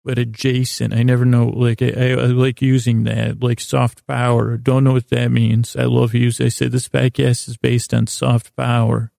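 Man, very creaky voice, mellow tone, podcast host, meandering bedtime monologue, halting, self-deprecating. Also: medium pitch, monotone, no echo, clean studio sound. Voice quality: creaky, gravelly. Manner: meandering, halting, self-deprecating, often losing his thread. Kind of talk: rambling sleep podcast monologue.

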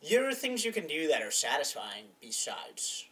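A man's voice: a little high, huge pitch swings, pushed and bright, far from the mic, low-quality recording. A man in his mid-twenties co-hosting a podcast, easygoing and agreeable in casual chat. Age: 20s. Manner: easygoing, agreeable, quick to say yeah. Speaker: podcast co-host.

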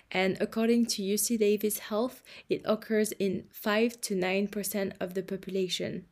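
Woman podcast host, mid pitch, reads intro then asks polite questions. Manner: polite, warm questions with soft laughs. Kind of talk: scripted intro and interview questions.

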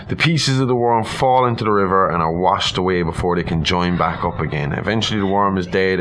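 Male co-host, low register, informal and irreverent storyteller, slightly noisy recording. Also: sharp tone. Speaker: podcast co-host. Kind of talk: reading a legend aloud with casual commentary.

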